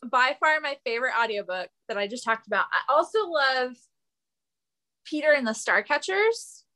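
A woman's voice: high-pitched, expressive, moderate pace, bright, forceful tone. A woman guest on a podcast, chatting in an enthusiastic, informal way. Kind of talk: casual chat.

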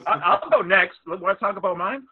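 A man from the Boston area co-hosting a podcast, chatting casually, animated and argumentative, laughing often, heard over a call-in line. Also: sits high, a little fast, a crowd in the background. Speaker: podcast co-host. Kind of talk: casual banter. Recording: tinny dry phone-like line.

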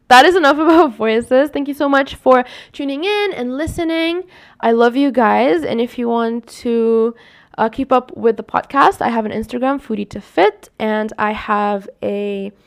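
Scratchy-voiced woman hosting a podcast. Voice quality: scratchy, deepens with long talk. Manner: rambling, self-correcting, upbeat sign-off. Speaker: podcast host. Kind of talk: casual solo podcast talk.

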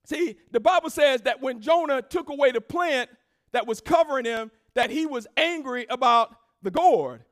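Woman preaching with shouted sing-song emphasis. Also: high voice, lively intonation, moderate pace. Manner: emphatic preaching with call-and-response. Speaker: pastor. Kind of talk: sermon.

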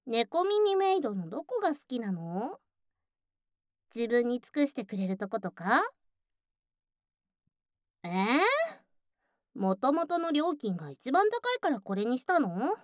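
A voice actress playing a curt, teasing young childhood friend who works as a cafe maid, in an ASMR audio drama. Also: female voice, on the high side, sing-song, a little slow, pauses often, no echo, studio quality. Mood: teasing, flustered. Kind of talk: Japanese ASMR situation voice drama.

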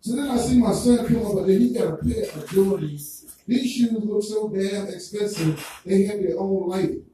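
A man with a Jamaican accent doing stand-up, talking animatedly and breaking into loud character voices. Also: high voice, normal speed. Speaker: stand-up comedian. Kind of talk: stand-up routine. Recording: roomy echo, distant mic, faint noise.

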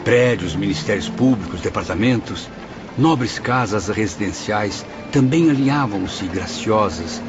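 A man's voice: fairly low pitch, unhurried, noisy background, natural voice. An actor in a radio drama playing an anguished man's spirit who recalls the afterlife in a dramatic, awed, reflective way. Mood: nostalgic. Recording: dull lo-fi radio drama under music.

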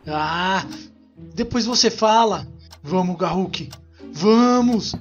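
Male actor as an impatient, bossy companion, high-pitched, dull recording under clear music. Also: expressive. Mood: urging. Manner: hurried, bossy. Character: impatient male companion prodding a slow friend. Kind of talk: audio drama promo acting.

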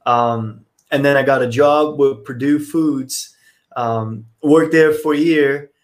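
Relaxed young man from Brazil. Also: medium pitch, slow. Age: 20s. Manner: relaxed, many fillers. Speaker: podcast guest. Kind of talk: casual interview.

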